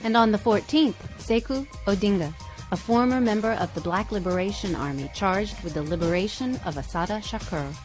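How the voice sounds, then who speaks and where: A woman's voice: medium pitch, fairly quick, soft background music. A woman co-hosting a podcast and reading scripted news items. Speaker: podcast co-host.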